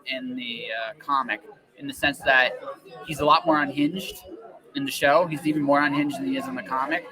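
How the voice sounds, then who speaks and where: A man's voice: mid-range voice, fairly even intonation, normal speed, crowd noise, rough recording. A man hosting a casual podcast conversation, talking emphatically and often repeating his words and phrases for stress. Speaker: podcast host. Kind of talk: casual interview chat.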